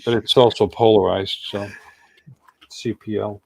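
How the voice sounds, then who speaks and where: A man's voice: fairly low pitch, steady tone, unhurried, vocal fry, darkish tone, slight background noise, poor audio. A middle-aged man hosting a casual livestream chat in a playful, rambling, joking way. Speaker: livestream host.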